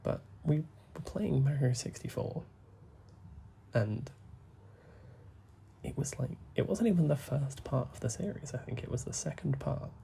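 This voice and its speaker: A young man, talking softly and rambling as he plays a game, full of fillers and asides. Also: mid-range voice, animated, vocal fry, slight background noise. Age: young adult. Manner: soft, deliberately hushed talk with frequent fillers. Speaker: gaming YouTuber. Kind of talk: rambling gameplay commentary.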